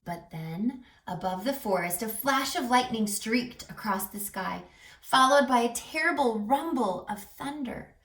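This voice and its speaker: Slow-paced woman storyteller in an echoey room. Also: a little high. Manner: animated, pausing to ask young listeners questions, dropping to hushed tones. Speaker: read-aloud storyteller for children. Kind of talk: expressive picture-book read-aloud for children. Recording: roomy echo, distant mic, music behind.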